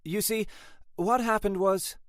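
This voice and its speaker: A man's voice: on the high side, animated, measured pace, no echo, studio quality, voice pushed up. A man voicing a flustered, apologetic female detective sergeant who hesitates and evades, in an audiobook. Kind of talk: audiobook character dialogue.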